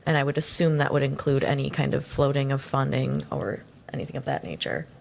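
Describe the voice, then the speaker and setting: A woman's voice: very deep voice, brisk, vocal fry, darkish tone, slight background noise. A woman at a city council meeting explaining funding, tentative and thinking aloud. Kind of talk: discussion at a public meeting.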